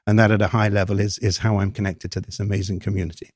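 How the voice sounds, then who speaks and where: An older man from the United Kingdom with an English accent, a podcast guest talking in a thoughtful, measured way with many ums and restarts. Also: fairly low pitch, level tone, a little mellow, no room sound, studio quality. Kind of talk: podcast interview.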